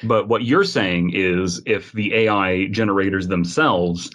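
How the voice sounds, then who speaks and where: A man's voice: deep voice, a little slow, fairly bright tone, highs cut off. A man hosting a casual panel podcast, inquisitive, summing up and putting questions to the others. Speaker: podcast host.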